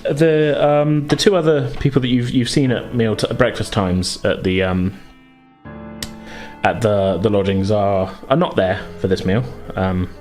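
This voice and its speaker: Male game master, lowish pitch, easygoing and tentative, casual table chat over faint music. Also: unhurried, darkish tone.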